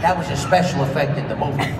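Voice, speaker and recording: Animated male narrator in an echoey hall. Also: fairly high pitch. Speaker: voice actor reading narration. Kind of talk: animated stage-direction narration, comic. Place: large convention hall stage. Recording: echoey hall from far off, loud noise.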